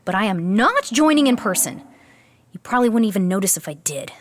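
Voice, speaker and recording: An actress playing an exasperated, sardonic young animal keeper in an audio drama, with a snippy, dramatic, grumbling manner. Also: female voice, mid-range voice, big pitch swings, fairly quick. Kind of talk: audio drama character acting.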